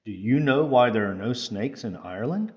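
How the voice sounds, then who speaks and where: A man's voice: medium pitch, lively intonation, normal speed, highs cut off, close to an everyday voice. A man voicing a proud young boy who declaims as Saint Patrick in a storybook play. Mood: proud, bold.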